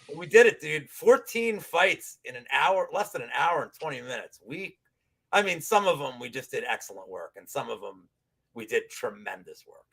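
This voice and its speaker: Gravelly forty-something podcast host. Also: male voice, medium pitch, big pitch swings, normal speed, a bit bright, rough recording. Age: 40s. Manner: joking, loose and rambling. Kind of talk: casual betting podcast chat.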